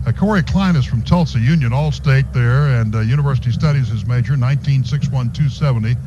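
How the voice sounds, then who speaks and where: Male radio play-by-play announcer, mid-pitched voice, live game calls and sponsor reads, dull noisy recording. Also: a little fast. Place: stadium radio booth.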